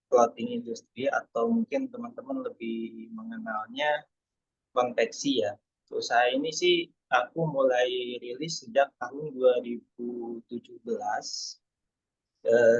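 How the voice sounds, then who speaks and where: A man's voice: mid-range voice, slightly rough, no room sound, highs cut off. A man from Indonesia, speaking casually on a webinar with many hesitations and fillers.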